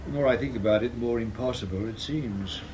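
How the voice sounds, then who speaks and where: An actor playing a cautious, doubtful British intelligence committee member in a radio drama, reasoning a little hesitantly. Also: male voice, mid-range voice, fast, background noise, lightly acted. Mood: wary.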